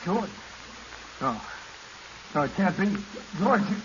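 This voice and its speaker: A noisy, muffled vintage radio drama recording. a scheming, desperate male diver with a high-pitched voice. He speaks urgently, with pleading agitation. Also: sing-song, takes it slowly, a bit bright, heavily acted. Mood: alarmed. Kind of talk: 1940s radio drama acting.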